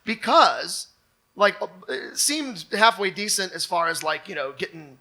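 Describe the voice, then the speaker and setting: Guy cohosting a live comedy thing, high piercing voice, keeps cutting himself off and exclaiming. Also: big pitch swings, a little slow, sharp tone. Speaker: co-host of a local comedy show. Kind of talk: comedic unscripted talk before a live audience.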